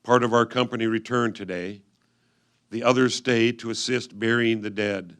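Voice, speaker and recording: A man reading old letters aloud in a reader's theatre style, with a solemn, measured delivery. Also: mid-range voice, fairly even intonation, a bit bright.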